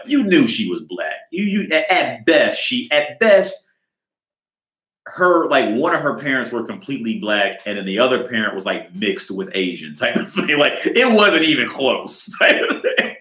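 A man's voice: medium pitch, sharp tone. Rambling man in an echoey room. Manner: rambling, repeats words, restarts often; confrontational, crude. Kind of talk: casual monologue.

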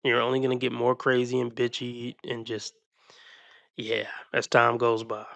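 A man's voice: mid-range voice, monotone, moderate pace, creaky, fairly bright tone, no echo. A man giving blunt, mocking reaction commentary on video clips.

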